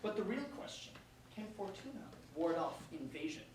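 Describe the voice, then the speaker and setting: A woman playing a teasing, quick-witted male court jester in a staged reading of a verse comedy. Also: on the low side, big pitch swings, darkish tone. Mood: conspiratorial. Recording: distant echoey room with faint noise.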